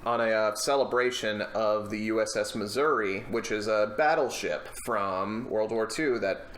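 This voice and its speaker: Man, forceful bright voice, casual emphatic film banter, a bit profane, recorded in a reflective room. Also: lowish voice, moderate pace. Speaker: podcast co-host discussing films. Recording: roomy, echoey room.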